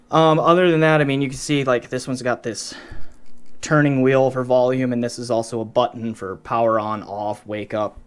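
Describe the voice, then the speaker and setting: A man's voice: medium pitch, smooth. A man giving a casual, unscripted gear review, explaining things conversationally and often breaking off his own sentences. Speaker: product reviewer.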